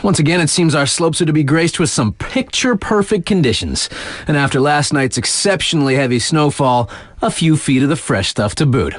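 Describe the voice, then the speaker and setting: An actor playing a laid-back, wisecracking mountain radio DJ in a video game, giving playful scripted bulletins in a wry, slangy, casually hip way. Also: male voice, fairly high pitch, animated, a little fast, no echo. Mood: breezy, informative.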